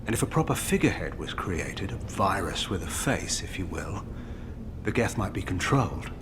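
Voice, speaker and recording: An actor playing a defensive scientist in a video game, earnest and grave, explaining himself with emphasis. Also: male voice, deep voice, big pitch swings, normal speed, noisy background. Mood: earnest, justifying. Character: defensive male scientist justifying his disastrous experiment. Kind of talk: dramatic video game dialogue acting.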